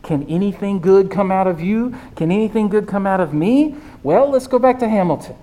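Squeaky-voiced preacher, conversational sermon. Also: animated, a little fast, dark tone. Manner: conversational, keeps asking "right?". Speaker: pastor.

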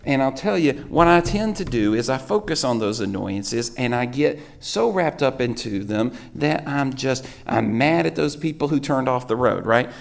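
A hoarse male pastor tells a rambling, self-deprecating story during his sermon. His voice is gravelly from a sore throat and sits in a middling range for a man. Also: medium pitch, lively intonation, moderate pace, few pauses.

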